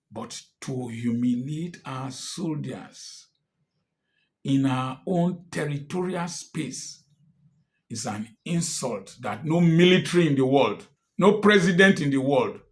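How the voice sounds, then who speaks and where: A man in his sixties with a Nigerian accent, giving an impassioned political address, emphatic and defiant. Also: medium pitch. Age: 60s. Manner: emphatic and defiant, rising to angry outbursts. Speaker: commentator.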